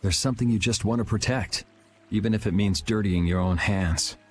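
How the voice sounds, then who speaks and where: A cool and blunt young swordsman in an anime game, voiced by a man. He talks low, dry and matter-of-fact, world-weary but principled, over soft music. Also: normal speed, lightly acted. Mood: calm, frank. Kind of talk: English dub of anime role-playing game.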